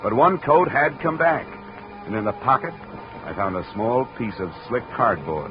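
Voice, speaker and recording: An actor playing a hard-boiled, wry insurance investigator, dry and clipped, in 1950 radio detective drama. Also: male voice, lowish voice, close to an everyday voice. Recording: muffled, noisy vintage radio recording.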